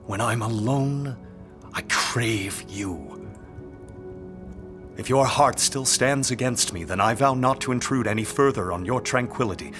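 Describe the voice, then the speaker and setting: An actor playing a courteous, devoted lord in a period romance audio drama, with formal, tender and deliberate delivery. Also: male voice, medium pitch, over music, natural voice. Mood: ardent, confessional. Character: ardent, courteous aristocratic lord, regretful, devoted.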